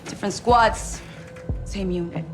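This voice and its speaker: A woman's voice: medium pitch, a little slow, natural voice. A woman playing a shrewd, defiant cartel boss and ex-soldier in a video game cutscene, with a Mexican accent and taunting delivery that slips into Spanish. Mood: proud, cool. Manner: taunting, switches between English and Spanish. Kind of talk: cinematic video game drama acting. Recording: loud music behind the voice.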